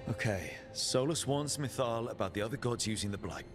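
An actor playing a level-headed companion in a fantasy video game cutscene. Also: male voice, medium pitch, lively intonation, a little fast, natural voice. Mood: measured, questioning. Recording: dialogue under clear background music.

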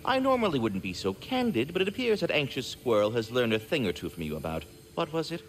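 A fussy formal talking squirrel for a video game, super animated, theatrical, mid pitch. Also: male voice, fast, a little hiss or hum, rough recording. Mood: courteous, candid. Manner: formal, florid, theatrical diction. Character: polite, theatrical magical talking squirrel caretaker.

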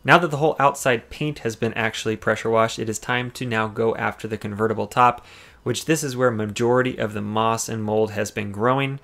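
A man's voice: lowish voice, creaky, a bit bright. A man narrating a car detailing video as voice-over, explaining each cleaning step and giving tips. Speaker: car detailing channel host.

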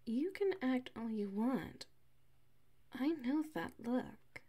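A woman playing a flustered, defensive female classmate with a secret crush in an ASMR audio roleplay, huffy and halting. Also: on the high side, lively intonation, warm tone. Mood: teasing, defensive. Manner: halting, huffy, defensive, slips into muttered whispers.

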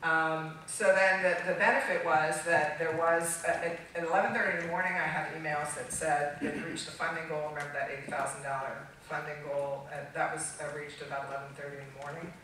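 A middle-aged American woman speaking unscripted to a conference audience, halting and emphatic, restarting her phrases as she goes. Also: low-pitched, flat delivery, a bit bright. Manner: halting, emphatic, with repeated false starts. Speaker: conference keynote speaker, trademark attorney. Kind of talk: public talk to an audience, unscripted. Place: large dark auditorium stage, recorded from far off. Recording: echoey hall, distant microphone, faint noise.

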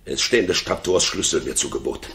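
Loyal counsellor, low voice, earnest, urgent, solemn, roomy vintage radio play. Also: male voice, brisk, fairly bright tone. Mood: pressing. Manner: earnest, solemn stage diction. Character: loyal royal counsellor, grave, urgent, fearful. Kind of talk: 1960s German radio drama acting.

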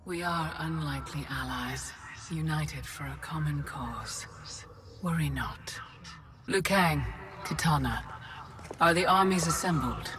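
An actress playing an ageless, calmly grandiose titan villain in a video game, measured and regal. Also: female voice, low voice, background noise. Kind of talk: video game cutscene acting.

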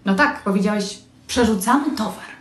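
A woman's voice: mid-range voice, normal speed, natural voice. A young woman playing a suspicious, melodramatic wife and mother, making accusing, theatrical outbursts, recorded on a phone at home. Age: young adult. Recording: roomy home room, faint hiss.

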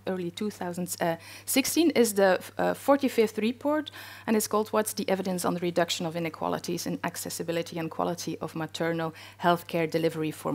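A woman's voice: on the low side, gravelly. Middle-aged woman speaking hesitantly on a panel. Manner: hesitant, many fillers. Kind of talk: panel discussion. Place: stage in a wood-panelled hall with audience.

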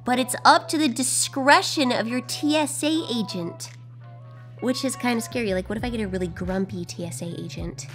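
Hoarse young woman vlogging excitedly. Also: on the high side, moderate pace, music in the background. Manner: chatty and excitable. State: hoarse from shouting. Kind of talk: casual vlog to camera. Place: hotel room, then airport. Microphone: handheld camera at arm's length.

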